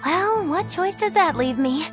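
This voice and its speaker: Theatrical, high-voiced mischievous witch. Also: lively intonation, a little slow, music in the background. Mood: teasing. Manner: theatrical, teasing, sing-song. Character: mischievous, flippant young female witch. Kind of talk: video game cutscene voice acting.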